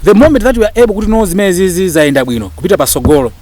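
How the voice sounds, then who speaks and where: Malawian man, interview guest. High pitch, racing delivery, insistent and repetitive for emphasis, slight background noise. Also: sing-song, racing, darkish tone. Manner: emphatic, argumentative, repeats phrases for stress. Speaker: interview guest, actor and lecturer. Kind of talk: impassioned interview talk mixing two languages.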